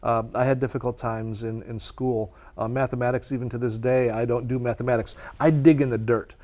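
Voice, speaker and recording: Czech-native male guest, mid-pitched, eager, passionate talker on nature. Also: steady tone, a little fast, darkish tone. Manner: earnest and passionate, speaks at length. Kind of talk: conversational interview answers.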